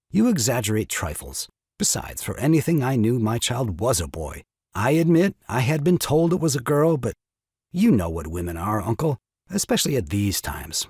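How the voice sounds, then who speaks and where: An actor playing a glib, evasive young husband, self-justifying, in a theatrical period farce audio drama. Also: male voice, mid-range voice, huge pitch swings, moderate pace, darkish tone, breathy edge, dry recording, clean studio sound. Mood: glib, defensive.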